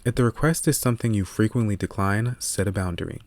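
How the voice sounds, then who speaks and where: Low, dry-sounding male audiobook narrator. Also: fast talker, no room sound.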